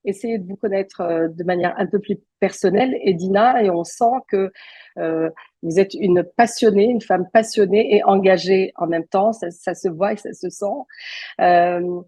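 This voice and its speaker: A woman's voice: medium pitch, moderate pace, dark tone, breathy edge, no echo. A woman hosting a talk show, asking a guest formal, courteous questions with frequent repetitions. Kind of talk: interviewing a guest.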